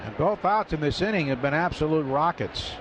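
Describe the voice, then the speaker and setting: A man's voice: on the high side, lively intonation, brisk. A man giving matter-of-fact baseball analysis on the radio from a ballpark booth. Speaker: color commentator. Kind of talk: radio baseball color commentary. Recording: muffled radio broadcast with crowd noise.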